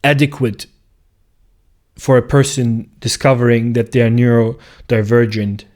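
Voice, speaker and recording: A man, a clinical psychologist talking in a slow, reflective monologue and searching for words as he goes. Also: medium pitch, steady tone, darkish tone. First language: German. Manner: thoughtful, searching for words with restarts.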